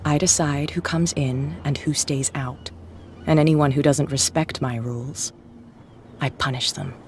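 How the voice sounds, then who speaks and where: A woman's voice: deep voice, steady tone, a little slow, darkish tone. Bootlegger boss woman, territorial, taunting, commanding, game dialogue, light background noise. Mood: menacing, commanding. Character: menacing female bootlegger gang boss, territorial and mocking. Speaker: gang leader.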